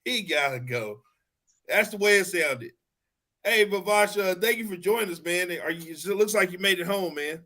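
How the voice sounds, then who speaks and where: A man's voice: sits high, expressive, moderate pace, sharp tone, no echo, clean studio sound. A man in his thirties hosting a casual sports talk show, joking and teasing his co-hosts. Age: 30s. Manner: joking, teasing, laughs often. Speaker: podcast host.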